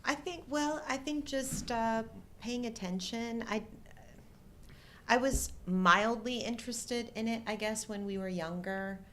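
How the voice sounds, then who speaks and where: A woman's voice: fairly high pitch, measured pace, a little hiss or hum. A middle-aged woman chatting casually as co-host of a live show. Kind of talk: casual chat.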